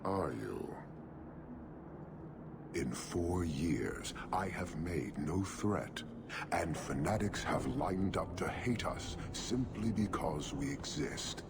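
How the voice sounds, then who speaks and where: An actor playing an imposing, stern warlord, speaking slowly and with menace in a deep, gravelly voice. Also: male voice, lively intonation, noisy background, full character voice. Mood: menacing. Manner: slow, measured, menacing. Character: imposing male warlord leader, stern and cold.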